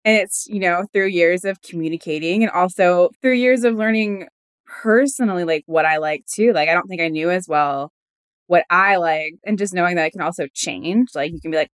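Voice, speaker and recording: A woman's voice: lowish voice, normal speed, clear, resonant tone. A woman in her early thirties chatting casually and openly, with some swearing. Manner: open, animated, profane. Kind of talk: candid casual chat.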